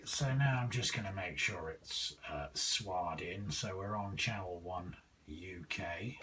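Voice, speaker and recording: Man, low voice, bright edge, casual gadget demo, halting thinking-aloud delivery, faint background noise. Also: distant mic. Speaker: radio hobbyist reviewer. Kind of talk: casual hands-on product demonstration.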